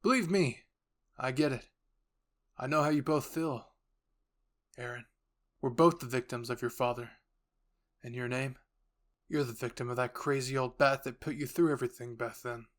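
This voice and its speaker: A man playing a menacing, self-assured male enemy who talks deliberately, with condescending sympathy, in a roleplay story. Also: mid-range voice, steady tone, fairly bright tone, close to an everyday voice. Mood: persuasive.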